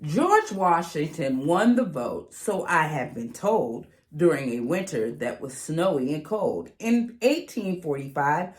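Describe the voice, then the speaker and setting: Woman doing a kids book read aloud, super lively pitch, unhurried and sing-song.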